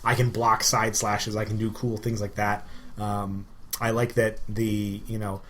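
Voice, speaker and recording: A man co-hosting a podcast, chatting casually about games and telling stories in an animated way. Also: lowish voice, a little slow. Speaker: podcast co-host. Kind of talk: casual gaming chat. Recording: clear background noise.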